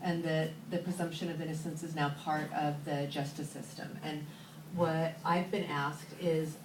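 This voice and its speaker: A lower-voiced woman asks a long question from the audience, loud and animated as she searches for her words. She sounds distant in a reverberant auditorium with a noisy background. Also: moderate pace. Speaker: audience member asking a question. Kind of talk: public Q&A question. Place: university auditorium. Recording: echoey hall, far handheld camera, noisy.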